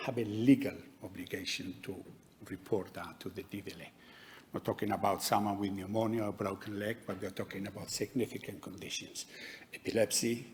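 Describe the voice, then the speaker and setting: Low gravelly man with a Spanish accent. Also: slight background noise. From Spain. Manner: measured, explanatory, with light humour. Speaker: conference speaker, DVLA doctor. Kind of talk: conference talk. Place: conference hall.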